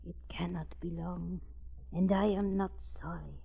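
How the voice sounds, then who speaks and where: A grave Croatian maidservant in an old radio thriller, full of superstitious foreboding. She speaks slowly in a husky voice, on a tinny, phone-like recording with long reverb. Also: lowish voice, fairly even intonation, heavily acted. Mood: grim. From Croatia, as the character. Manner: grave, ominous, dramatic. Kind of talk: 1930s radio drama acting.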